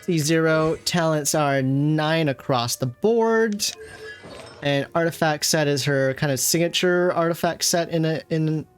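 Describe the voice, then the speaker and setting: A man's voice: a little high, unhurried. A man doing casual livestream reaction commentary, gushing playfully over a video game. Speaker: game streamer. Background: game music.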